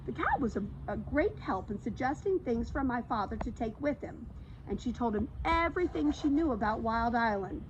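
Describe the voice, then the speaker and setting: A woman reading a children's book aloud as a storyteller, in an expressive read-aloud style. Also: a little high, moderate pace. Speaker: storytime reader. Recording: slightly dull audio, faint background noise.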